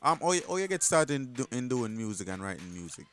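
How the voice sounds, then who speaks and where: A man's voice: mid-range voice, sing-song, fast, faint music behind. A man hosting a casual interview, talking loosely in slang with plenty of fillers. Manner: loose slangy talk, laughs easily, hesitant fillers. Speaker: host.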